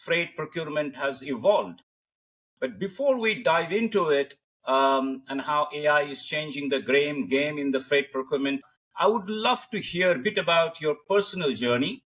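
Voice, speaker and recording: A man's voice: on the high side, a bit bright. A man hosting an interview podcast, speaking with emphatic, formal phrasing. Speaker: podcast host.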